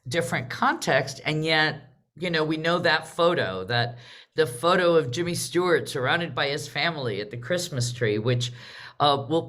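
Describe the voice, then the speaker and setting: A woman moderating a live online discussion about theater, speaking in a measured, reflective way. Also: deep voice, fairly bright tone.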